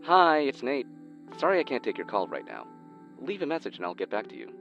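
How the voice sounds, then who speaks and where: A man's voice: medium pitch, huge pitch swings, a little fast, creaky, dull audio, loud music behind the voice. An actor playing an easygoing young man's voicemail greeting in an audio drama, through a phone filter. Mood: casual.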